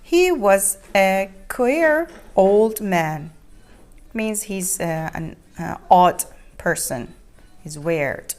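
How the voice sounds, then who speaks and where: A woman reads a children's story aloud, haltingly, repeating and explaining words as she goes. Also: mid-range voice, animated, darkish tone. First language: not English.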